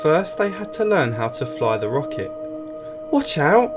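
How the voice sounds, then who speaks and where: A man reading a scripted children's story aloud in an expressive storytelling style. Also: mid-range voice, moderate pace. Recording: music bed behind voice. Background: background music.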